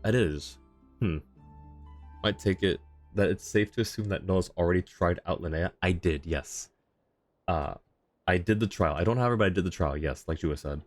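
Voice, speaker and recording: A man with a low voice gives casual livestream commentary on a game. He talks to his viewers in a thoughtful, halting way, feeling for the right words. Faint music sits behind him. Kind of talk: casual live commentary, answering chat.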